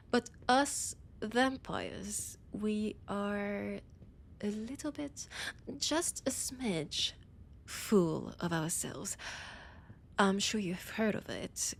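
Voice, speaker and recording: A woman playing a haughty, annoyed vampire scholar with a French accent, speaking in a theatrical monologue with dramatic pauses. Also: medium pitch, creaky, slight background noise. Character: annoyed, haughty female vampire scholar guiding a library guest. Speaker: audio roleplay performer. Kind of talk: slow theatrical in-character monologue.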